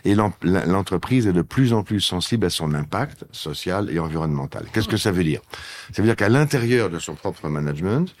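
A man answering as an interview guest, explaining in a measured way. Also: deep voice, lively intonation. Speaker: business guest. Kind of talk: conversational interview.

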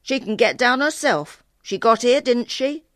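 A woman's voice: fairly high pitch, lively intonation, unhurried, a bit bright, no room sound, clean studio sound, voice pushed up. A woman voicing a rude, sulky farm boy in a storybook, grumbling and bossy. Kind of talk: storybook reading.

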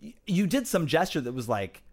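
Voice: mid-range voice, fairly quick. A speaker chatting casually on a podcast, telling teasing stories. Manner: teasing, lively storyteller.

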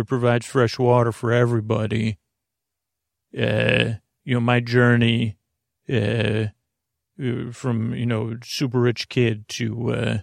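Creaky-voiced man rambling at bedtime. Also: mid-range voice, no room sound, clean studio sound. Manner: meandering, halting, trails off mid-word; silly, goofy and friendly. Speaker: podcast host. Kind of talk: rambling, unscripted bedtime monologue.

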